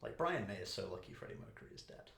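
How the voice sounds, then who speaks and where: A man's voice: fairly low pitch, very animated, racing, vocal fry, a bit bright. A man co-hosting a casual podcast, cracking dry, sarcastic jokes. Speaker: podcast co-host. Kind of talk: casual chat. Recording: echoey room.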